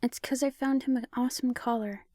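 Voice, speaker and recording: A man's voice: extremely high pitch, steady tone, brisk, a little mellow, no echo, studio quality, voice pushed up. A man voicing a playful, caring fifteen-year-old girl in an audiobook, with an eager, teasing manner. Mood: proud.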